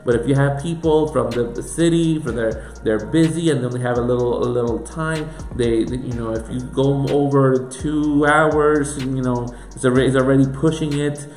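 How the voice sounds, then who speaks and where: A pastor with a Puerto Rican accent teaches in an earnest, emphatic way, working through one question after another. His voice sits at an ordinary middle pitch for a man. It is recorded in an echoey room with soft music underneath. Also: fairly even intonation, normal speed, few pauses. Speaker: pastor and church planter. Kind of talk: teaching talk.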